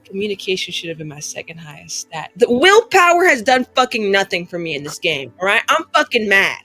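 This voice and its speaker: A woman's voice: mid-range voice, sing-song, a little fast, sharp tone, faint music behind, rough recording. A woman playing a tabletop roleplaying game, improvising and chatting casually in a rambling, sweary, exasperated way.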